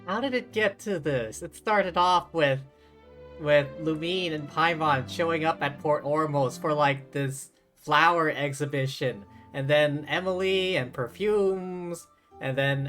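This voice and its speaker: A male streamer comments casually on a game as he plays. His voice is fairly high and bright, and he takes his time. Faint game music runs behind him.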